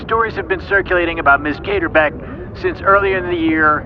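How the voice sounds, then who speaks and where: An actor playing a stiff, official police chief giving a deliberate press statement. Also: male voice, sits high, a crowd in the background, close to an everyday voice. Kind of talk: scripted press-conference statement. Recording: dull sound, outdoor ambient noise.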